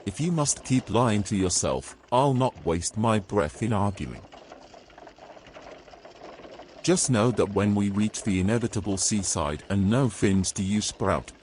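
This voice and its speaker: Male actor as haughty, controlling fantasy knight: lowish voice, very animated delivery. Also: normal speed, few pauses, a little hiss or hum, close to an everyday voice. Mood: smug, condescending. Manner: pompous, formal old-fashioned phrasing. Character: domineering, pompous male knight, scornful and controlling. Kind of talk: fantasy audio drama acting.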